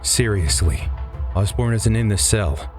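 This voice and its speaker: A man's voice: fairly low pitch, expressive, unhurried, a little mellow, faint music behind. A man playing a cynical prison guard in a comic dub, blunt and colloquial. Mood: puzzled, urgent.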